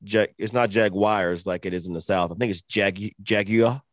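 Low-voiced man in casual betting banter. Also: steady tone, normal speed, no room sound, studio quality. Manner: loose, profane, jokey banter. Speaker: podcast co-host. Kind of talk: casual sports betting chat.

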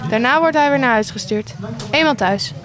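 A woman with a very high voice narrates an amateur radio play. She reads short scripted scene links between the acted parts. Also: lively intonation, normal speed, a bit bright.

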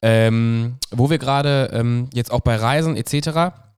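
A man's voice: mid-range voice, unhurried, darkish tone, clear, resonant tone, no room sound, studio quality. A young man from Germany hosting a casual podcast interview, enthusiastic and slangy, with many fillers. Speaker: podcast host.

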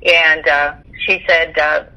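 A woman's voice: low voice, level tone, slow, pushed and bright, rough recording. An older woman, reminiscing in a talkative, rambling, conversational way over the telephone. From Texas, United States. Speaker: interview guest sharing memories.

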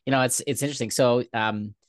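A man from Germany whose first language is German, hosting a conversational interview in a thoughtful, halting way with many fillers. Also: medium pitch, steady tone, dry recording, clean studio sound. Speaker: podcast host.

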